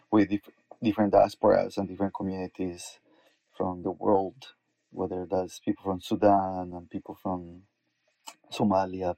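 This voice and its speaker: A man's voice: sits low, dark tone, no room sound, low-quality recording. A man from Colombia, an activist and educator, speaking as a guest in earnest, searching conversation with frequent restarts. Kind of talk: conversational interview.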